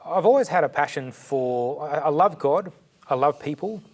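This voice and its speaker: A man's voice: on the high side, lively intonation, moderate pace, a little mellow. A middle-aged man with an Australian accent, born in India and raised in Sydney, giving earnest, fluent interview answers with a few restarts. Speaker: charity director, guest.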